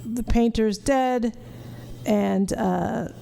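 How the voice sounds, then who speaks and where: Middle-aged female podcast host, mellow and unhurried, blunt and casual. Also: a little high, gravelly, a little hiss or hum. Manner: blunt, profane. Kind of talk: casual watch-along commentary.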